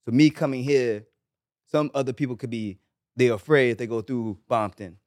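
A man's voice: medium pitch, normal speed, a little mellow, dry recording, studio quality. A man from Barbados, guest on a podcast, chatting casually and easygoing, often adding "you know what I'm saying.". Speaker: guest musician.

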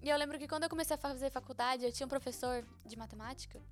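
A woman's voice: high-pitched, very fast, faint music behind. A young Brazilian woman with a Brazilian Portuguese accent, chatting casually with slang and frequent laughs. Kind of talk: casual chat.

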